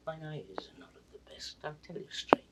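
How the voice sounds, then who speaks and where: A man's voice: on the high side, expressive, brisk, close to an everyday voice. An actor playing a frail, grief-maddened old king in a classical Shakespeare audio drama, swinging from anguished cries to broken whispers. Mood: weary, confused. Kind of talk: 1960s recorded Shakespeare drama, classical stage acting. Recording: distant, noisy vintage drama recording.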